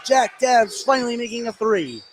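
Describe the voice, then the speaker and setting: A man calling live basketball play-by-play from courtside, excitable and ready to raise his voice on big plays. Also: extremely high pitch, moderate pace, music in the background. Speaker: play-by-play announcer. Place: courtside in a gym. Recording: clear background noise on live broadcast.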